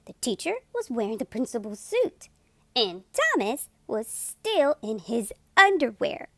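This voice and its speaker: A woman hosting storytime, reading a children's book in an expressive, deliberate and emphatic narration. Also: on the high side, no echo. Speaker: storytime host and reader.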